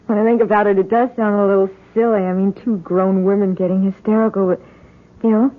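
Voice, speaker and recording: An actress playing a nervous, earnest college woman in an old-time radio drama, halting and insistent as she speaks. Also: mid-range voice, fairly even intonation, close to an everyday voice. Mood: uncertain. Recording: muffled, narrowband old radio broadcast.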